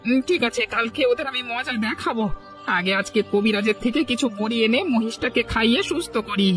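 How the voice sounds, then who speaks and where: Guy voicing a fretful cartoon dairy farmer, really high plaintive voice, music behind. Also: fairly quick. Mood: troubled. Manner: plaintive, worried. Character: distressed simple village milkman, fretting over his buffalo. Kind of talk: cartoon dialogue acting.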